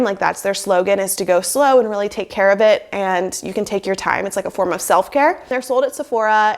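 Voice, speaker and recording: A young woman in her twenties, sick with a cold and sounding nasal, chatting upbeat to camera in a small room on a lapel mic, with lots of "like" fillers. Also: mid-range voice. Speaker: lifestyle vlogger. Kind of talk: casual chat to camera. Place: small bedroom office indoors. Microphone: lapel mic plugged into a phone.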